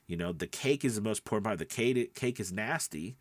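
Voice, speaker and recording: A man with a fairly low voice teaches in a casual, conversational way, like a podcast host coaching beginners. He leans on key points and often checks that the listener is following. The sound is dry, with very little room on it. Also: lively intonation, brisk, no echo. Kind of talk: casual instructional talk.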